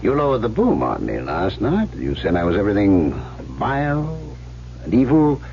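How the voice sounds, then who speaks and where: Male for cocky, seductive radio-drama gangster. Gravelly, wildly expressive delivery, muffled vintage broadcast. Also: lowish voice, moderate pace, a little hiss or hum. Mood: needling. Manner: smooth-talking, insistent, theatrical, teasing. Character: cocky, seductive escaped gangster, silver-tongued and goading. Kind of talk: old-time radio drama acting.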